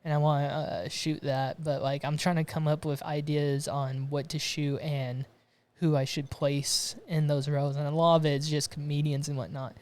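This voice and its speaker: A man's voice: a little high, little pitch movement, normal speed, a little mellow. A man from Romania hosting a podcast from his garage studio, chatting casually and rambling with frequent restarts. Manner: rambling, restarts and laughs often. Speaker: podcast host. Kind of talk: casual chat.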